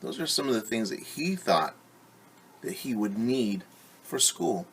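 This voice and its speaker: A man's voice: fairly low pitch, big pitch swings, measured pace, a little hiss or hum. A man teaching young children at story time, reading aloud and explaining in simple, encouraging words with questions to his listeners.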